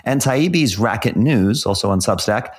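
Low-pitched man giving podcast commentary. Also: lively intonation, moderate pace, breathy edge. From South Africa. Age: middle-aged. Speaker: podcast co-host. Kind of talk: podcast commentary, part scripted.

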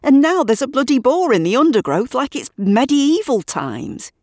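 A woman's voice: fairly low pitch, expressive, moderate pace, darkish tone, slightly rough, no echo, studio quality. A woman playing a heated, sarcastic man. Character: agitated middle-aged man, argumentative and sarcastic.

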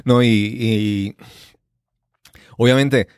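A man's voice: medium pitch, steady tone, drawn-out words, smooth, no room sound, clean studio sound. A man hosting a podcast, introducing and interviewing in a conversational way. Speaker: podcast host.

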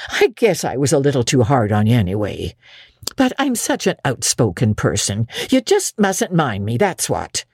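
A woman's voice: very deep voice, huge pitch swings, moderate pace, darkish tone, breathy edge, no echo, clean studio sound, full character voice. A woman voicing a kindly but officious older country woman, folksy, hearty and outspoken, in a storybook reading. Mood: hearty, forgiving. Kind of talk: dramatic audiobook reading.

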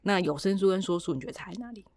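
A woman's voice: medium pitch, expressive, racing, a little mellow, no echo. A woman podcast host with a Taiwanese Mandarin accent, chatting casually with playful, teasing banter. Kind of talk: casual chat.

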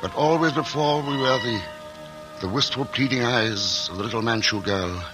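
A man's voice: medium pitch, close to an everyday voice, low-quality recording. A man playing a weary, earnest explorer. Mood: reflective. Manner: earnest, measured first-person storytelling. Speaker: narrator and lead character. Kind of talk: 1940s radio acting. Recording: old radio broadcast with music underscore.

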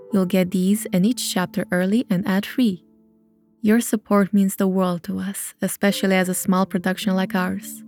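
A woman's voice: medium pitch, darkish tone. A woman reading scripted narration for an audio drama as its narrator. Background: music.

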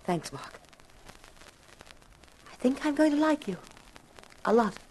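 An actress playing a frank, self-possessed young widow confiding intimately in a detective in a 1950s radio mystery. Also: female voice, high-pitched, lively intonation, slow, a little mellow, a little hiss or hum, poor audio. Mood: confiding, inviting. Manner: candid, intimate.

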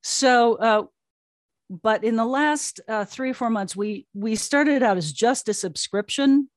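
A woman's voice: fairly high pitch, unhurried, no echo. Chatty older woman, close dry sound. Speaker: guest, audio drama producer and creative officer. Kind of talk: conversational interview.

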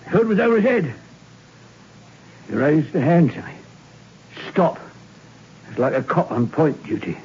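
A man's voice: fairly high pitch, sing-song, measured pace, long pauses, darkish tone, slight background noise. An actor playing a nervous hangman shaken by a ghostly sign, agitated and repeating himself, in a 1960s radio drama. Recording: dull old radio recording.

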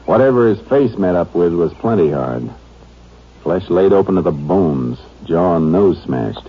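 An American man acting a laconic, sharp-eyed Texas Ranger who questions tersely and matter-of-factly in an old-time radio drama. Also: sits low, lively intonation, unhurried, slightly rough, full character voice. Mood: businesslike. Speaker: actor in a radio crime drama. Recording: muffled, noisy vintage radio recording.

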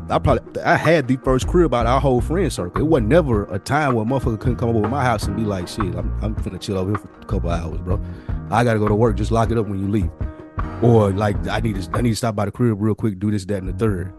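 A man with a lowish voice talks quickly over clearly audible music. He is casual and slangy, rambling through stories in a direct, conversational podcast style. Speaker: podcast co-host. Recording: music playing behind the voice.

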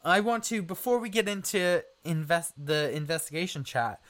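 Higher-voiced man hosting a casual interview. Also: expressive, normal speed, fairly bright tone. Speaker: interviewer, podcast series creator. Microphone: remote internet call interview.

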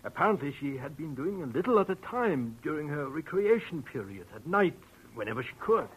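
Earnest radio doctor, noisy old recording. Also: male voice, on the high side, sing-song, a little fast. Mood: urgent explaining. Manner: formal, precise, emphatic. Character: earnest, anxious male doctor of exclusive nursing home. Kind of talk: 1940s radio detective acting.

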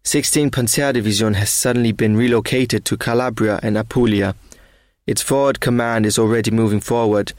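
Male actor as German army officer, weary, sceptical, measured diary reading, lowish voice, dry close sound. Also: fairly even intonation, no room sound, close to an everyday voice. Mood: sober, matter-of-fact. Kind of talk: read-aloud wartime diary, measured dramatic narration.

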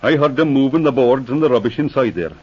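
An actor playing a jolly, talkative Irish Mountie with a folksy, chuckling manner, in 1940s radio acting style. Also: male voice, medium pitch, quick pace, breathy edge, lightly acted. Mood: amused. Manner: chuckling, folksy, rambling storyteller. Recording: muffled old 1940s radio recording.

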